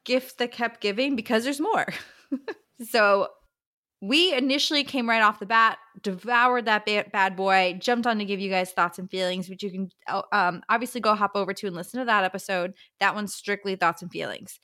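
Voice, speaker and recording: A woman's voice: mid-range voice, moderate pace, sharp tone. A woman hosting a book podcast, chatting casually in a slangy, exclamatory way. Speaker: podcast host.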